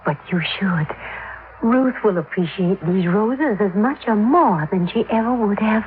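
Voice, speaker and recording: A woman's voice: mid-range voice, animated, a little slow, close to an everyday voice. Actress as an earnest grieving mother, tinny old radio. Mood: earnest, devout. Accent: American. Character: grieving, gentle, credulous mother devoted to spiritualism. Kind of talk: 1950s radio drama acting. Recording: tinny, noisy 1950s radio recording.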